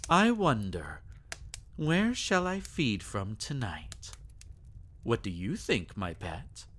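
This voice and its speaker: Man playing a slow, silky sadistic vampire lord. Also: mid-range voice, big pitch swings, fairly bright tone, full character voice. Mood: menacing, seductive. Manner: theatrical, teasing, drawn-out menacing endearments. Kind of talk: intimate ASMR roleplay acting.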